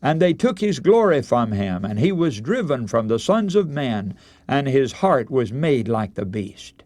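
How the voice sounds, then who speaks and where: A man preaching a radio sermon, telling a Bible story in solemn, deliberate oratory with dramatic emphasis. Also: mid-range voice, sing-song, a little mellow, no echo.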